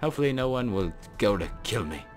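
A young man giving casual, rambling commentary over his own gameplay, joking at himself and breaking into laughing shouts. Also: lowish voice, sing-song, fast, faint music behind. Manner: rambling, self-mocking, bursts into laughing shouts. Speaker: gaming YouTuber.

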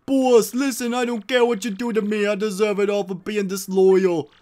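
A man's voice: sits high, level tone, moderate pace, darkish tone, close to an everyday voice. Man playing an earnest pleading young mobster. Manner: urgent, pleading. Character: earnest, loyal young mobster begging his boss.

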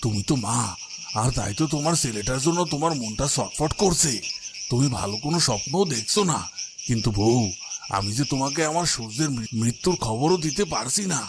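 A man's voice: mid-range voice, lively intonation, a little fast, noisy background, lightly acted. A man playing a sorrowful, patient village husband and father in a cartoon, pleading and reasoning. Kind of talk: cartoon drama dubbing.

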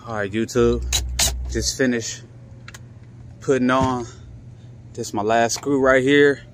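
A man's voice: medium pitch, fairly even intonation, slow. A man talking casually and in slang to viewers as a handyman explaining a home repair, recorded on a handheld phone indoors. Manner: colloquial slang, informal catchphrases. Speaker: handyman vlogger and HVAC technician. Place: indoors at home beside air-conditioning equipment. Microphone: handheld phone behind the camera. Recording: noisy handheld phone audio with clicks.

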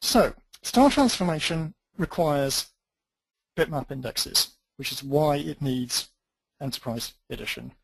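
Man, instructor, live coding demo, fairly high voice, dry close sound. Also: animated, measured pace, gravelly, slightly rough, no room sound, low-quality recording. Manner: dry, self-deprecating, thinks aloud while typing. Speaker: database instructor. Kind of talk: live software demo tutorial.

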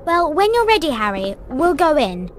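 High, lilting schoolgirl witch. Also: big pitch swings, a little hiss or hum. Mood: urging. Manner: brisk, prompting. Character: brisk, bossy young schoolgirl witch. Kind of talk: video game character dialogue.

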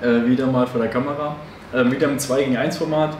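A man's voice: medium pitch, steady tone, fast talker, darkish tone. A man in his thirties co-hosting, chatting casually with many fillers and restarts, in a hobby shop. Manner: rambling, many fillers and self-corrections. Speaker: co-host of a tabletop game channel. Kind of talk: casual chat introducing a battle report. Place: hobby game shop, indoors. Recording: echoey room, distant mic, faint hiss.

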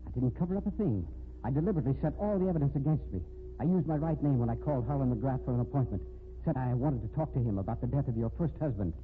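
A man acts out an obsessed, menacing American killer in a 1950s radio thriller. His delivery is intense and bitter, with very lively pitch. The recording is thin, narrow and clearly noisy. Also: very fast, close to an everyday voice. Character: obsessed, bitter murderer threatening the woman he wants. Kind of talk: 1950s radio drama acting.

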